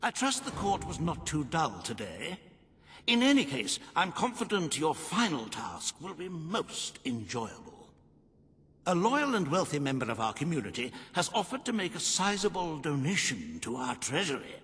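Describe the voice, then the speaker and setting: A formal, courteous royal adviser from a video game. His fairly high voice moves in lively curves and rings with long hall reverb. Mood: courteous, wry. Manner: formal, polite, measured diction.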